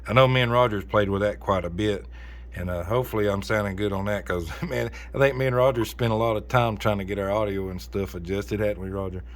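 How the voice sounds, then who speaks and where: Deep-voiced folksy man chatting casually. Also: quick pace. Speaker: amateur radio operator recording for a video channel. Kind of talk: casual chat over ham radio.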